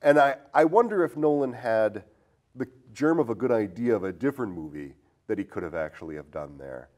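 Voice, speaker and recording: A man's voice: on the low side, expressive, fairly quick, darkish tone. A man hosting a podcast in a conversational, analytical way, posing questions as he discusses film. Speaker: podcast host.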